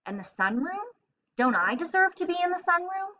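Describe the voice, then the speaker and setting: A woman voicing a self-satisfied, excitable little male hamster telling his diary in a children's storybook. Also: very high voice, normal speed, muffled recording, pitched above the performer's own voice, heavily acted. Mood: curious, agitated. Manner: self-satisfied, exclamatory, asks and answers himself.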